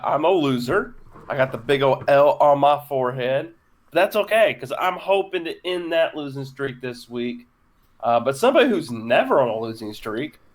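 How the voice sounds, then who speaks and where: A man hosting a laid-back podcast in a voice of ordinary, middle pitch. He talks casually but haltingly, often restarting and filling gaps with uh. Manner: hesitant, stumbling with many fillers. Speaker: podcast host. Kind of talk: casual chat.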